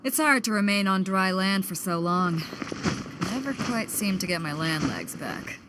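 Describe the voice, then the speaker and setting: A seafaring woman from a video game. Her pitch sits in the middle range for a woman, and there is faint noise behind her lines. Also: expressive, measured pace, fairly bright tone, rough recording. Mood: wistful. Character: seafaring woman unused to dry land. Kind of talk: video game character dialogue.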